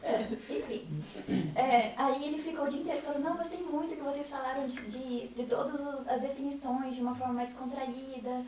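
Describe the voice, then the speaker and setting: A woman's voice: high voice, fairly even intonation, brisk, a little mellow. A woman with a Brazilian accent telling a casual anecdote in a group chat. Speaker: theatre troupe member. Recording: very echoey room, distant mic, faint noise.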